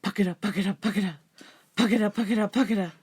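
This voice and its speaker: A woman's voice: medium pitch, fast talker, a little mellow, slightly rough. A woman voicing a small, fearless possum warrior puffing along breathlessly in a storybook. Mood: breathless, determined.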